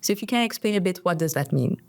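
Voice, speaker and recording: A woman's voice: fairly low pitch, lively intonation, quick pace, gravelly. A young woman from Mauritius hosting a podcast, reading a polished intro and then asking guests questions in an upbeat way. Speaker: podcast host.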